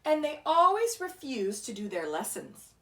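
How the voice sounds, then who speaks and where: Very expressive woman reading aloud, echoey room. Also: high voice, moderate pace. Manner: asks the child listener questions, simple explaining. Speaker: read-aloud storyteller, teacher. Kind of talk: expressive children's picture book read-aloud. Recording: echoey room, distant microphone.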